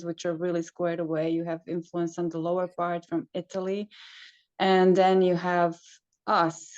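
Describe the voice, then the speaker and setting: Guest, middle-aged woman from Croatia; smooth, lowish voice, halting casual delivery, lo-fi call sound. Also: darkish tone. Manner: hesitant, searching for words with many fillers. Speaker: travel consultant guest. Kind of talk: casual interview chat. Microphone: video call. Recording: dull lo-fi call audio.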